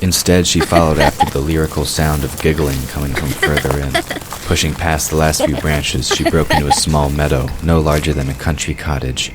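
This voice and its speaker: A man's voice: rumbling low, flat delivery, normal speed, loud music behind the voice. A man reading scripted third-person narration for a fantasy audio drama. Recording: clear background noise of night crickets.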